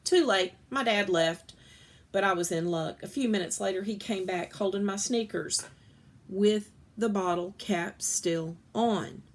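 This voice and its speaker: Female reader, children's fiction read-aloud. Expressive storytelling delivery, medium pitch, natural small slips. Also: unhurried. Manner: expressive, acts out dialogue, occasional stumbles and self-corrections.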